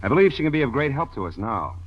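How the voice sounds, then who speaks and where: An actor playing a businesslike American government intelligence chief, brisk and dry, in a 1940s radio drama. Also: male voice, medium pitch, sing-song, husky. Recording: muffled vintage radio broadcast.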